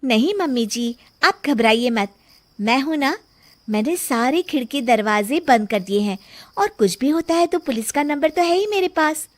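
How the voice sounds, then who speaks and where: A woman's voice: high-pitched, expressive, moderate pace. A woman voicing a brave, caring young daughter-in-law in a cartoon, speaking in a respectful, reassuring manner.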